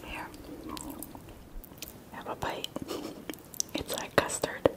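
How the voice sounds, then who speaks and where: A young woman whispering softly and chatting through an ASMR eating video, her nose stuffy from allergies, close to a binaural mic. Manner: soft unvoiced whisper, slow and chatty. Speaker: ASMR host. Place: indoors at a table.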